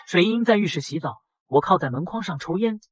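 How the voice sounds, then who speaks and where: A man voicing a brash young factory boss who narrates his own dramatic motion-comic story in a husky voice. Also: sits high, animated, quick pace, no room sound. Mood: tense narrating. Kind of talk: dramatic first-person scripted narration.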